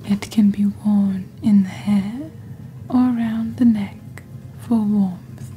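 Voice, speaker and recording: Young woman doing asmr shopping channel, super slow n soft, dark mellow voice, faint noise behind. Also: medium pitch, steady tone. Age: young adult. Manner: breathy, near-whispered, slow and deliberate. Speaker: ASMR host playing a shopping channel presenter. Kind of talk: soft-spoken ASMR, scripted product presentation.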